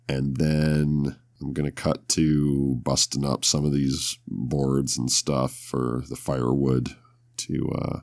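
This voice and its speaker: A man's voice: extremely low pitch, darkish tone, no room sound, studio quality. A man playing a tabletop roleplaying game, casually talking through what his character will do.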